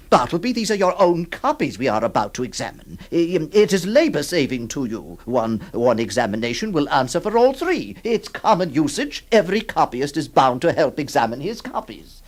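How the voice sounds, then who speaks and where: A man's voice: fairly high pitch, big pitch swings, moderate pace. An actor playing a flustered, hesitant lawyer who stammers, also narrating his story in a radio drama. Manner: stammering, exasperated, theatrical.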